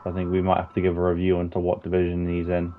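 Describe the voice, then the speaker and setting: A man with a very low voice calls a sim racing qualifying session in a loose, sweary, bantering way. The audio is dull and lo-fi, with a faint hiss under it. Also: fast. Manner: sweary, excitable, banter-heavy. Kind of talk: casual sim racing commentary.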